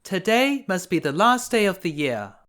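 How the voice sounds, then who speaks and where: A man's voice: high voice, big pitch swings, normal speed, fairly bright tone, pitched above the performer's own voice. A man voicing a poor, freezing little girl in a storybook, pleading and earnest. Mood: wistful. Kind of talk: acted storybook character voice.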